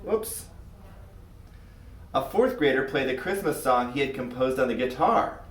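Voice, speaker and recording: A man narrates a children's story with highly animated intonation. His delivery is expressive and made for young listeners. The room rings around him, and he sounds some way from the microphone. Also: a little slow. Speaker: storybook reader. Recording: roomy echo, far from mic.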